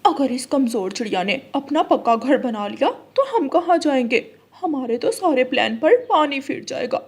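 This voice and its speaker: Woman playing a high-pitched scheming crow lady. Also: lively intonation, normal speed, slightly rough, exaggerated voice. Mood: scheming, smug. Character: scheming, mean-spirited female crow, smug and selfish. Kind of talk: Hindi children's cartoon story acting.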